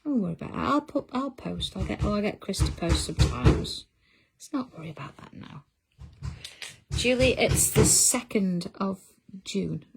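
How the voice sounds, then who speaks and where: A woman's voice: mid-range voice, animated, moderate pace, vocal fry, very noisy. A middle-aged woman, hosting a craft livestream in casual chat and answering viewer comments as she works. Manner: chatty, reads out viewer comments while working.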